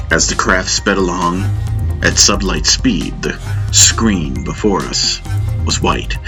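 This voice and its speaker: A man reading scripted first-person fiction as an audiobook narrator. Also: low-pitched.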